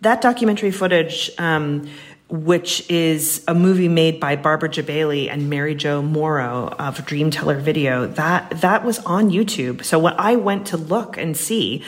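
A woman's voice: low voice, moderate pace. A woman speaking as a writer being interviewed, earnest and emphatic, thinking aloud as she goes. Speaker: guest, writer. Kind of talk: reflective interview conversation.

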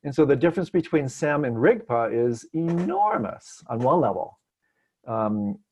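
A man from Detroit, a meditation teacher, answering a question in a relaxed, gentle way with frequent restarts, over a video call. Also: mid-range voice, husky. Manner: gentle, searching, many restarts. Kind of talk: relaxed spoken teaching, unscripted.

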